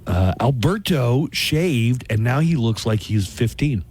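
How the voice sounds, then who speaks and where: A man's voice: mid-range voice, animated, unhurried, darkish tone, a little hiss or hum. A middle-aged man hosting a morning radio show, chatting casually with wry, dry jokes. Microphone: studio microphone.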